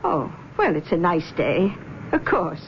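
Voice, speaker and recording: A woman's voice: lowish voice, sing-song, unhurried. An actress playing an overbearing, worried middle-aged mother in a vintage radio drama, fussy and reproachful. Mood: fretful. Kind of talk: 1970s radio drama acting. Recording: dull old radio broadcast with faint hiss.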